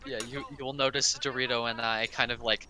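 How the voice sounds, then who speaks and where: A man's voice: medium pitch, little pitch movement, fairly quick, pushed and bright. A man giving casual, joking co-commentary on a video game speedrun.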